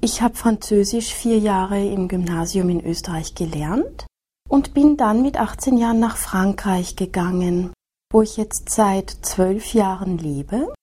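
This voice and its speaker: A woman, a teacher reading a short scripted self-introduction in a slow, measured, soft-spoken way. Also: mid-range voice, husky. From Austria. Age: 30s. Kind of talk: scripted monologue for a listening exercise.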